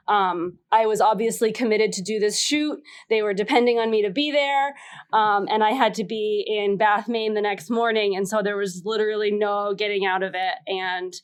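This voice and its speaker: A woman casually telling a personal story as a panelist, stressing words in an animated way. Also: mid-range voice, smooth. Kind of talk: casual anecdote on a comedy game podcast.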